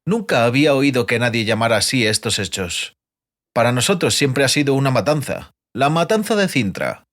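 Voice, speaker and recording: A man's voice: mid-range voice, lively intonation, normal speed, a bit bright, close to an everyday voice. A man playing an inquisitive, theatrical bard. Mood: earnest, insistent. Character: curious male bard poet, uneasy but witty. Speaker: audiobook actor. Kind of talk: dramatized fantasy audiobook dialogue.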